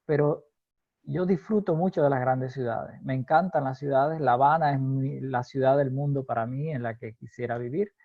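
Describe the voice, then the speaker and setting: A Cuban man from Holguín, a law professor, answering interview questions in a measured, hesitant way, with many fillers and frequent laughs. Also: medium pitch, dry recording, narrow, tinny audio. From Cuba, Holguín.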